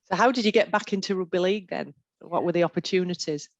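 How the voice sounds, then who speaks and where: A woman's voice: lowish voice, quick pace, no room sound, highs cut off. A woman hosting an interview, enthusiastic and encouraging with her guest. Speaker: interviewer, host. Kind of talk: casual interview.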